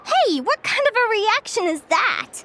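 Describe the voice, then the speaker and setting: A woman's voice: very high voice, sing-song, a little slow, full character voice. A woman voicing an earnest, adventurous, chatty young fae changeling in game dialogue. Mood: playful. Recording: music behind the voice.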